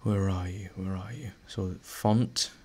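A male teacher narrating a screen-recorded lesson, a little hoarse as he is losing his voice, talking through each step with fillers and restarts. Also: deep voice, slow, a little hiss or hum. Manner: relaxed, thinking aloud with fillers and restarts.

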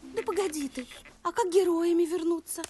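A woman's voice: extremely high pitch, moderate pace, mellow. A woman playing a worried, doting older village mother in a cartoon, plaintive, tender and wistful. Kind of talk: animated film acting.

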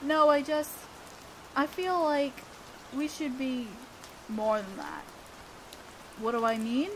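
A woman playing an awkward, earnest teenage girl student council president in a romantic audio roleplay, halting and bashful as she talks. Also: sits high, a little hiss or hum, poor audio. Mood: shy, hesitant. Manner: halting, bashful, breaking off mid-sentence. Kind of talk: romantic audio roleplay acting, one-sided dialogue. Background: rain sounds.

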